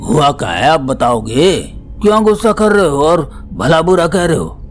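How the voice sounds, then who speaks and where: A man's voice: fairly high pitch, animated, normal speed, natural voice. Anxious middle-aged father played by a man, pleading. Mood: pleading, bewildered. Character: frightened, pleading father of a grown daughter. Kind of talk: Hindi audio drama acting. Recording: music bed under the voice.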